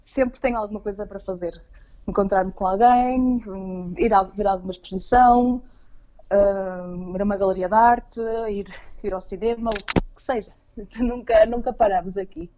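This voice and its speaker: Portuguese woman chatting in an interview, relaxed warm tone, stumbles over words a bit, kinda dull lofi audio. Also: medium pitch, normal speed. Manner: hesitant, repeats words while searching for phrasing. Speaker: interview guest living abroad. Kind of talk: casual conversational interview.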